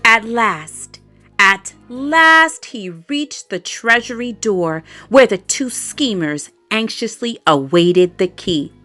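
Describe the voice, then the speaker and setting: A woman telling a children's bedtime story aloud in an expressive way, adding playful sound effects. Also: fairly high pitch, slow, dry recording, faint music behind.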